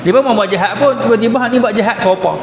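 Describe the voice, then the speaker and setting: A man's voice: high-pitched, very fast. Echoey Kedah Malay male religious teacher. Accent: northern Malay, Kedah. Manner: colloquial, explanatory, punctuated with short check-in questions. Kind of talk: mosque lecture explaining a religious text. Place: mosque hall. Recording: echoey hall, reverberant, noticeable background noise.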